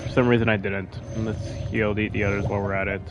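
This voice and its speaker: A man's voice: lowish voice, little pitch movement, quick pace, muffled recording. Casual male gamer over game music. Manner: informal, thinking aloud, mild swearing. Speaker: gamer playing and commenting. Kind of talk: casual gameplay commentary.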